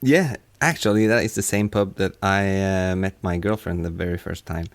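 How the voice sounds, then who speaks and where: A man working as a sound designer, chatting casually and haltingly, often repeating his words. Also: sits low. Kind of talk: casual chat.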